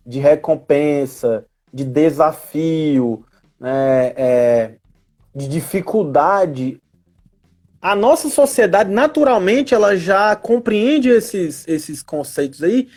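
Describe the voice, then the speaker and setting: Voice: medium pitch, lively intonation, slow, darkish tone. A Brazilian speaker explaining things as a guest expert in a casual live-stream discussion, in an emphatic, didactic way that draws out key words. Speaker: guest expert on role-playing games in education.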